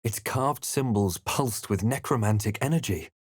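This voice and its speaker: Low-voiced, brooding fantasy hero. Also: male voice, normal speed, breathy edge, no echo, clean studio sound. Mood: grave. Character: composed, brooding male fantasy adventurer hero. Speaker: player character voice. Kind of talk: scripted game narration and character lines.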